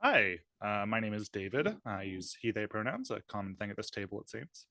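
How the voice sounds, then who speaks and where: A man with a fairly low voice gives a casual introduction as a game player. The sound is dry, with no room echo. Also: very animated, fairly quick, vocal fry, pushed and bright. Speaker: podcaster and player. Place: online group call.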